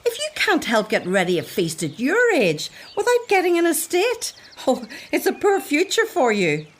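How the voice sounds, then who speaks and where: A woman's voice: high voice, wildly swooping pitch, moderate pace. An actress playing a bustling, bossy but affectionate older woman servant in a radio drama. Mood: fussing.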